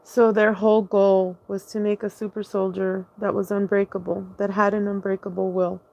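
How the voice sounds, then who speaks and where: A woman's voice: mid-range voice, flat delivery, moderate pace, gravelly, darkish tone. A woman telling her own story in a casual, rambling monologue, hesitating often, restarting sentences and spelling out words letter by letter.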